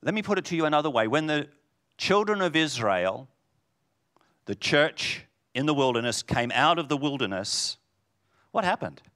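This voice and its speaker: A man preaching a sermon in a booming voice, swinging from loud declarations to hushed lines. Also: expressive, normal speed.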